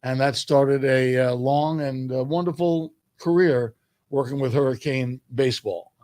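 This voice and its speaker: An older man from New York reminiscing as an interview guest, speaking in a measured way with emphatic phrasing. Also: mid-range voice, darkish tone, dry recording. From New York, United States. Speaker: guest, sports public address announcer.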